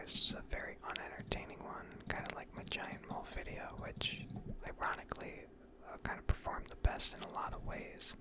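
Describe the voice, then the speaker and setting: A woman speaking in a breathy whisper, giving rambling, unscripted and self-deprecating ASMR commentary over gameplay. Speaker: gaming video creator.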